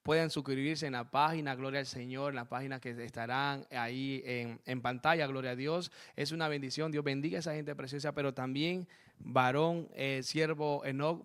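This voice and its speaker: A man's voice: mid-range voice, fairly bright tone, smooth. A man who is a pastor hosting a church program, praying and interviewing guests with fervent blessings. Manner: fervent, many amens and blessings. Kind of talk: preaching interview with spoken prayer. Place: church program set in Spain.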